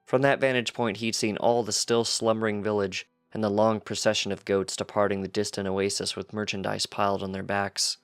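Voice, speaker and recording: A man's voice: lowish voice, little pitch movement, a little fast, few pauses, smooth, dry recording, studio quality, faint music behind. A man reading a piece of fiction aloud as scripted narration.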